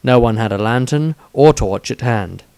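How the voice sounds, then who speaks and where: A man reading his own fantasy story aloud in a deliberate, dramatic storytelling manner. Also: medium pitch, a little mellow, clear, resonant tone, no echo. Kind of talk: scripted fantasy audiobook narration.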